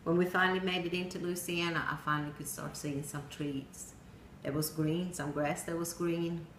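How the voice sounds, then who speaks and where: A middle-aged woman from Sweden with a Swedish accent, telling a personal story in plain storytelling with pauses and some non-native grammar. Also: on the low side, fairly even intonation, a bit bright. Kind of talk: personal narrative speech. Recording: echoey room with faint noise.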